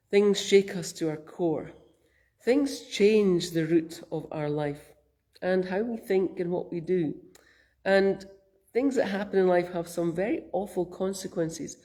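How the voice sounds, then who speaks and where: A Scottish woman minister giving a gentle, thoughtful spoken reflection, her voice croaky from a cold. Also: fairly low pitch. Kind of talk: reflective sermon.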